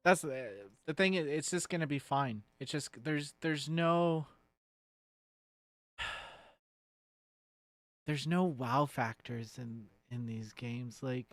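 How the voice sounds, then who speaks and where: A man's voice: mid-range voice, takes it slowly, long pauses, a bit bright, dry recording. A man giving casual, off-the-cuff commentary as a streamer, blunt and sweary, rambling and often trailing off mid-thought.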